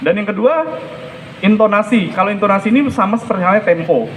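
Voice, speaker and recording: A man's voice: high voice, animated, quick pace, a little hiss or hum. A man giving explanatory, emphatic spoken feedback as a storytelling coach, repeating his key points.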